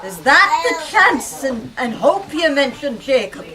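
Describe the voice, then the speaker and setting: A woman's voice: very animated, unhurried, crowd noise. Woman playing a terrified old miser. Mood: pleading, fearful. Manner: pleading, raised higher and louder. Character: frightened miserly old man Scrooge. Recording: distant mic, noisy room.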